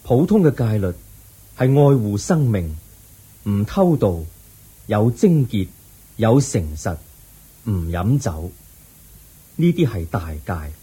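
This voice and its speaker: A man playing a calm, wise Buddha. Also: fairly low pitch, takes it slowly, pauses often, breathy edge. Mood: serene. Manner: calm, patient, instructive. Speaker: narrator and lead actor. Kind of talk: radio drama acting.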